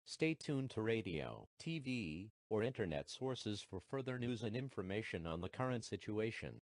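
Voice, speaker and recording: A man voicing an official emergency alert announcer, formal and measured, reading a scripted broadcast message. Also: fairly low pitch, sing-song, no echo. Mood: formal, impersonal.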